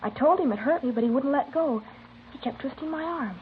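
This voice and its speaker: Shy-ish guarded high school girl, highish voice, old radio drama, tinny and hissy recording. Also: expressive, fairly quick. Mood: hesitant, confiding. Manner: tentative, plain-spoken. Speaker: actor playing a witness. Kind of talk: 1950s radio drama acting, naturalistic.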